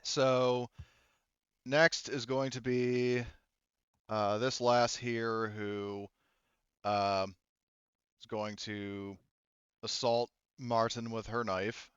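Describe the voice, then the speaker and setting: A man with a smooth, clean voice runs a tabletop roleplaying game at an unhurried pace. He works through rules and narration haltingly, thinking aloud in a casual, mildly profane way. The recording is dry and a little dull. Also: medium pitch, level tone, fairly bright tone, no echo. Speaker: game master.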